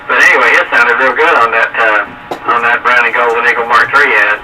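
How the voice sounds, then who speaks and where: A man's voice: medium pitch, fairly even intonation, brisk, bright, forceful tone. A man chatting casually about his radio hobby, heard over a CB radio. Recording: distant noisy CB radio speaker.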